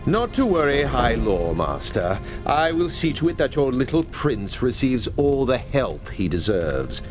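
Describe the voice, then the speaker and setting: Slow, sardonic fantasy adventurer. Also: male voice, medium pitch, big pitch swings. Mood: sly mockery. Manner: sardonic, condescending, deliberate. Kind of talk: fantasy game voice acting. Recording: music behind the voice.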